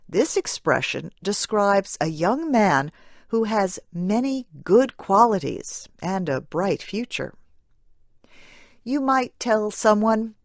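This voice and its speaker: A woman narrating a scripted English lesson in a measured, clearly phrased way for learners. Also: on the low side, no room sound, clean studio sound.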